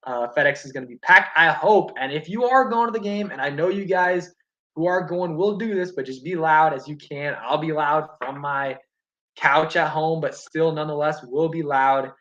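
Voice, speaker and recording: Young male streamer, casual and rambling, fair bit of filler, slightly higher voice, muffled home setup. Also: normal speed. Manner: relaxed, rambling, many fillers and restarts. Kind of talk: casual live-stream chat reading comments. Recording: dull lo-fi stream audio.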